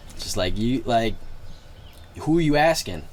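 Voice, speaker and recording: A man's voice: mid-range voice, lively intonation, normal speed, darkish tone. A man hosting a casual podcast chat in an agreeable, colloquial way. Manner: agreeable, frequent short interjections. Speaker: podcast host. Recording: clear background noise.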